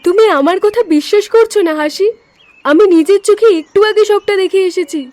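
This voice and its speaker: Helpful cartoon deer, female actor: clever concerned friend, earnest urgency, very high pitch. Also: brisk, darkish tone. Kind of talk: cartoon story voice acting.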